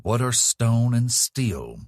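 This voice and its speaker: A man with a husky voice reading a dark fantasy horror audiobook in a solemn, dramatic, measured storytelling manner. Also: medium pitch, very animated, dry recording, clean studio sound. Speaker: author narrating own audiobook.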